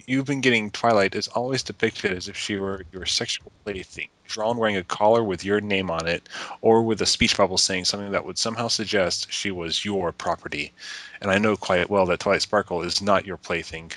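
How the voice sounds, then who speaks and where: A man's voice: low voice, normal speed, a bit bright, no echo, low-quality recording. A man reading a letter aloud in an earnest, emphatic manner. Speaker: reader of a letter to friends.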